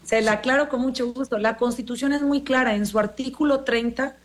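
A middle-aged woman with a Mexican accent and a fairly high voice answers like a politician being interviewed. She is formal and emphatic, repeats her arguments and addresses the interviewer directly. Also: steady tone, a little fast. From born in Los Angeles, Mexican.

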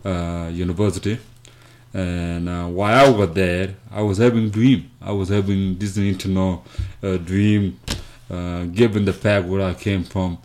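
A man with a South Sudanese accent telling his story as an interview guest, haltingly and with repeated words. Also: low voice, steady tone, a little mellow. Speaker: interview guest, foundation founder. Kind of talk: conversational storytelling.

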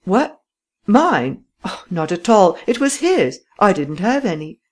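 A woman reading an audiobook voices a clever gentleman thief who gives brisk, imperious instructions in a slightly raised voice. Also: lowish voice, expressive, natural voice. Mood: commanding. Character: cool, commanding gentleman thief, clever and persuasive.

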